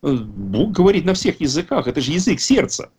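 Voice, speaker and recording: Man, 50s, husky, rambling and emphatic speaker at a recovery meeting. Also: a little high, very animated, fairly quick, a little mellow. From Russian-speaking country. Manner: rambling, self-correcting, emphatic. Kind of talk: casual talk at an online recovery meeting.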